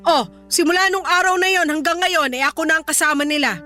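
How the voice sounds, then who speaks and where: A woman's voice: very high voice, fast talker, pushed and bright, faint music behind. A woman playing a stern, irritable older grandmother in a Filipino radio drama, nagging and combative. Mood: scolding. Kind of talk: Tagalog radio drama dialogue.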